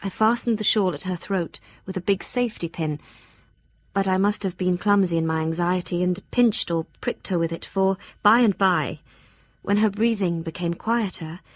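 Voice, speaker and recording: A woman's voice: mid-range voice, normal speed, no room sound, lightly acted. An actress playing a devoted, anxious young Victorian woman reading her journal and letters aloud in an audio drama, earnest and tender. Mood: worried, confiding.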